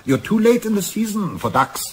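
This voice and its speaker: An actor playing a courteous, probing German yacht owner of about fifty with a German accent in a radio play. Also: male voice, high-pitched, very animated, brisk. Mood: suave, probing. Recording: faint background noise.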